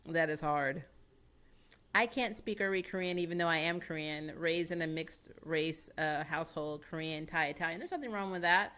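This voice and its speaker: A woman's voice: low-pitched, normal speed, clear, resonant tone. Chatty American woman streaming from home. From United States. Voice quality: chatty and animated, laughs often, thinks aloud with fillers. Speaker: live-stream host reading chat. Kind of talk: casual chat. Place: small home studio with shelves of collectibles. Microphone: boom-arm studio microphone close to the mouth.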